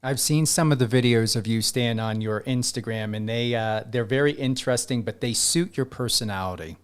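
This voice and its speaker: A man hosting a radio talk show, steering the guests with questions. He pauses with small fillers as he goes. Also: medium pitch, smooth. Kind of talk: interview questions.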